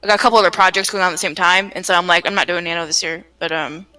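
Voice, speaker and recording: A woman's voice: fairly low pitch, steady tone, racing, pushed and bright, far from the mic, low-quality recording. A woman in a casual chat about her creative projects, rambling and circling back on her words as she goes. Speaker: game developer, writer and voice actor.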